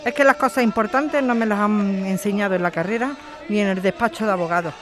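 An actress playing an indignant activist lawyer and daughter in an audio drama, challenging her opponent with forceful questions. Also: medium pitch, quick pace, a crowd in the background, lightly acted. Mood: indignant, defiant. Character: combative activist lawyer daughter defending evicted neighbours.

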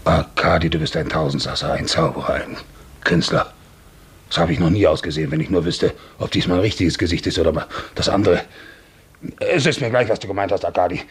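Deep-voiced, blustering nobleman officer. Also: brisk, slightly rough, exaggerated voice. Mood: suspicious, amazed. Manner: theatrical, blustering, musing. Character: domineering, grumbling Russian nobleman officer, suspicious and mercurial. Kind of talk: 1950s German radio drama acting. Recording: noisy 1950s radio recording.

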